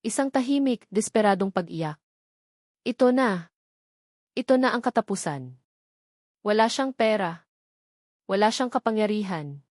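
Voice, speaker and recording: A woman narrator reading a scripted romantic drama in a measured, melodramatic storytelling manner. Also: medium pitch, lively intonation, a little mellow, no room sound, clean studio sound.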